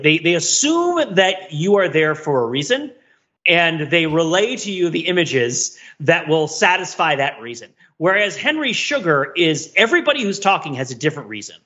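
A man co-hosting a podcast, talking casually and digressively about films in an animated, wryly analytical way. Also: fairly high pitch, normal speed, highs cut off. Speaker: podcast co-host. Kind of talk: casual film discussion, rambling commentary.

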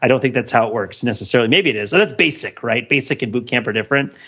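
A man's voice: mid-range voice, rapid-fire, fairly bright tone, lo-fi sound. A man co-hosting a casual podcast discussion, rambling and interrupting himself as he thinks aloud. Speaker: podcast host.

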